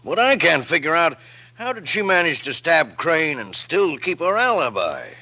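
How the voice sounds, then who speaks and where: An actor playing a plain-spoken, wry sheriff in a 1940s radio drama, on a muffled old broadcast. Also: male voice, a little high, sing-song, moderate pace. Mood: wry, puzzled. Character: plain-spoken, puzzled small-town sheriff, wry and sympathetic.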